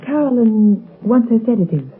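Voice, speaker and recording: A woman's voice: fairly high pitch, very animated, moderate pace, breathy edge, soft background music, exaggerated voice. An actress playing a concerned young lady in an old radio drama, polite, earnest and anxious in manner. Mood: worried. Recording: muffled, phone-like vintage radio recording.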